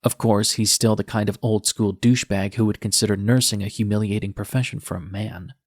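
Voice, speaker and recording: A man's voice: lowish voice, fairly even intonation, fairly quick, no echo, studio quality, natural voice. A man narrating an audiobook in the first person as a defiant, bitter young male nurse, with a sardonic, edgy manner. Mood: wry, bitter.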